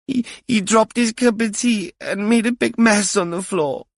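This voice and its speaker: A man voicing an upset, tearful little girl who speaks haltingly and repeats words. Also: sits high, no room sound, clean studio sound, voice pushed up.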